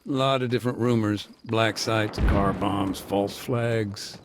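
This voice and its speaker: An actor playing a weary male aid worker who recounts events in a measured, laconic way during an interview in a video game. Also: on the low side, steady tone, very noisy, natural voice. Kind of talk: game cutscene dialogue.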